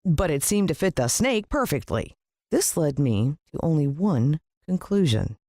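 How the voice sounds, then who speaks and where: A woman with an American accent giving a dramatic, expressive scripted narration of a children's story. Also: deep voice, a little slow, no room sound, clean studio sound. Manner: dramatic, playing a child's first-person point of view.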